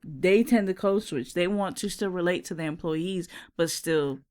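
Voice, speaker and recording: A podcast co-host who grew up in Jamaica, chatting casually in a lively way with slang, with no accent. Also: mid-range voice, moderate pace. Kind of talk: casual chat.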